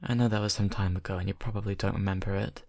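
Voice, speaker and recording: Low, gravelly brooding young vampire played by a man. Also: flat delivery, darkish tone. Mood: hesitant confession. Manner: hesitant, halting, confiding, intimate. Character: brooding young male vampire confessing to best friend. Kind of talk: ASMR audio roleplay.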